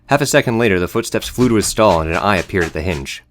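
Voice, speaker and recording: A man's voice: low-pitched, animated, fast talker, a little hiss or hum. A man reading scripted audio book narration as the narrator.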